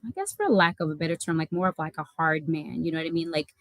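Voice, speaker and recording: A woman's voice: deep voice, fast, fairly bright tone, no room sound, clean studio sound. A young adult woman from the United States, a life coach and channel host, talking casually and reflectively to camera with many fillers and self-corrections. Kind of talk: reflective personal talk to camera.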